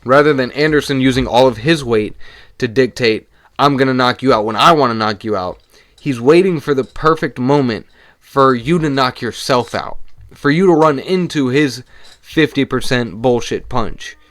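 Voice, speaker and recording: A young man hosting his own solo podcast, talking casually and with swearing while hoarse and losing his voice. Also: medium pitch, dry recording. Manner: casual and profane, talks fast in bursts. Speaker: podcast host.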